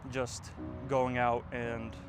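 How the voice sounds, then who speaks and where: Young male vlogger, 20s, monotone, loose and filler-heavy, outdoors with music underneath. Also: mid-range voice, extremely slow. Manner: rambling, full of fillers. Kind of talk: casual reflective vlog. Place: outdoors, windy.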